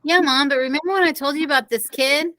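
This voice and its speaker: A woman voicing an inquisitive, suspicious schoolboy with expressive, questioning delivery in a read-aloud children's story. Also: sits high, fast, slight background noise. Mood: nosy, suspicious. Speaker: co-reader of a children's novel.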